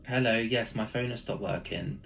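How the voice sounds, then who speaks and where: A man's voice: on the low side, moderate pace, gravelly, fairly bright tone, recorded from a distance, slight background noise, poor audio. A man voicing a puzzled, persistent husband calling customer service in a spooky story, earnest and insistent.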